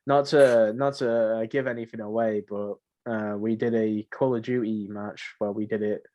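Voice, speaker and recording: A man's voice: fairly low pitch, fairly even intonation, normal speed, warm tone. A young man chatting casually as a podcast co-host, rambling and often repeating his phrases. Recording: dull lo-fi voice mic.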